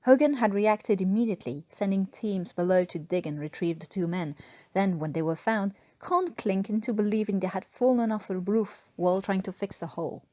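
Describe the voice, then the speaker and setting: Mellow-voiced woman reading a story. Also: mid-range voice, normal speed, no echo. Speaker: podfic reader and story author. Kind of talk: scripted fan fiction narration.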